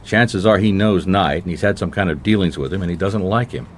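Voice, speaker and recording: A man's voice: sits low, a little fast, a little hiss or hum, lightly acted. A man playing a laconic, dogged private detective in a noir radio mystery, casual, wry and probing.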